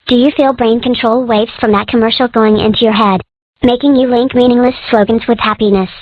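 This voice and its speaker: Woman as a high-voiced, pestering little cartoon boy. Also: steady tone, a little fast, dry recording, close to an everyday voice. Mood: inquisitive. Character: pestering little boy animal with odd questions. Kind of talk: comic dub voice acting. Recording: dull, dry lo-fi sound.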